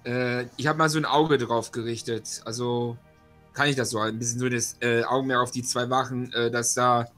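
A man's voice: mid-range voice, little pitch movement, normal speed, fairly bright tone. A man taking part in a tabletop role-playing game, talking casually in a tentative, questioning way. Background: background music.

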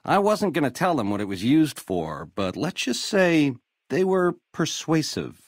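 An actor playing a slick, cocky American casino gangster boss in a video game, swaggering through retro lounge slang. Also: male voice, fairly high pitch, sing-song, unhurried, no echo, studio quality, exaggerated voice. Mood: boastful, reminiscing. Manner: swaggering retro lounge-lizard slang, calls people baby. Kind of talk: video game character dialogue.

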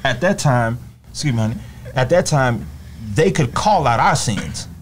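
A man's voice: mid-range voice, expressive, a little hiss or hum. A middle-aged man, a guest in a small podcast studio, telling a story earnestly and readily agreeing with the host, speaking into a close microphone. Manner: earnest, agreeable, backs the host with quick affirmations. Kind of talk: casual interview storytelling. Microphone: studio microphone close up, wearing headphones.

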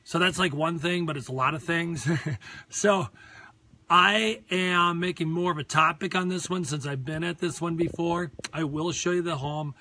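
Hoarse Minnesotan man, outdoors on a phone. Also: fairly high pitch, steady tone, moderate pace, bright, forceful tone, a little hiss or hum. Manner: rambling, earnest pep talk. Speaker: real estate agent. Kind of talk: live property tour vlog. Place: outdoors on a lakeside deck. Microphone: phone held at arm's length.

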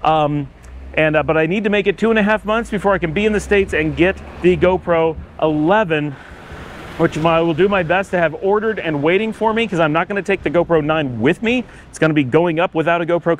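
A man's voice: fairly high pitch, brisk. A middle-aged man chatting casually in a walking vlog, rambling with lots of fillers and restarts, filmed outdoors on a city street with a camera held at arm's length. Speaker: travel vlogger. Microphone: handheld action camera at arm's length. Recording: faint outdoor street noise.

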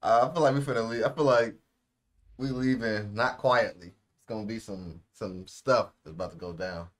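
A young man with a fairly low voice and an American accent co-hosts a reaction video. He talks in a casual, slangy way. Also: moderate pace, a bit bright. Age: young adult. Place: small indoor room.

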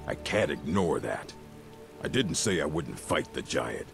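Deep, gravelly, resentful orc chief. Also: male voice, very animated, measured pace, faint music behind, heavily acted. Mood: grudging, indignant. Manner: blustering, grudging, bossy. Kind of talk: scripted video game dialogue.

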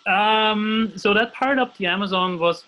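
Austrian-accented man with a bright voice. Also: sits high, a little slow. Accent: Austrian German. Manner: hesitant, many fillers and restarts. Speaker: guest illustrator. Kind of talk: casual interview. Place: home room at a desk. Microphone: video call. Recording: dull video call audio.

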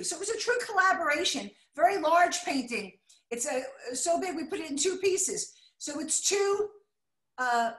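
High-voiced chatty older woman lecturing. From United States. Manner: chatty, excitable, exclaims and apologizes for slides. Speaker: art deco lecturer. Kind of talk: slideshow lecture over video call. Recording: echoey room.

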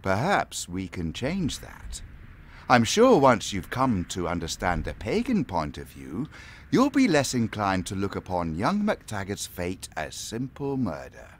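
A man's voice: fairly low pitch, wildly swooping pitch, unhurried, exaggerated voice. A man playing a mocking, menacing pagan lord in an audio drama, with an urbane, theatrical, condescending manner. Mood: coolly menacing. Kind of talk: full-cast audio drama acting.

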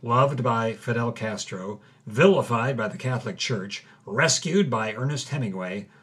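Male podcast host, husky mid-range voice, dramatic scripted delivery. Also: medium pitch, measured pace, a bit bright. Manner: dramatic, reads documents aloud.

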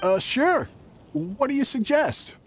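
An actor playing a nervous, jumpy young traveling merchant in a video game, hesitant and flustered, prone to stammering. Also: male voice, very high voice, sing-song, takes it slowly, slight background noise, exaggerated voice. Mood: musing, awkward. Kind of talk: video game character acting.

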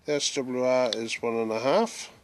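A middle-aged man chatting casually and haltingly as he demonstrates gear, thinking aloud. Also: medium pitch. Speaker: hobbyist presenter demonstrating radio gear. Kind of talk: casual hands-on demonstration chat. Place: small room at home and back garden. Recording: noisy amateur camera audio.

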